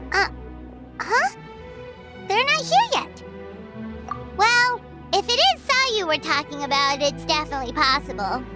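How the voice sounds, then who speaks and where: A tiny fairy companion from an animated game, with a very high, childlike squeak. She is chirpy and curious, swooping up and down as she exclaims, with music clearly playing behind her. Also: unhurried, pauses often. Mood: pondering. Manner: speaks of herself in third person, exclaiming. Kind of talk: animated video game dialogue.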